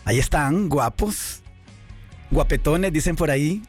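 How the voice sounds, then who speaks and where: A man's voice: on the high side, lively intonation, measured pace, breathy edge. Man, radio host, effusive, hesitant fillers, studio mic, faint music behind. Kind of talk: live radio interview. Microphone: studio microphone.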